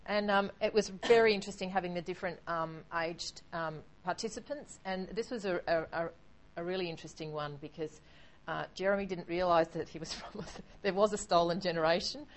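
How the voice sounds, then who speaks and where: A woman's voice: on the low side, fairly quick, rough recording. A woman explaining a project casually and chattily, with many fillers.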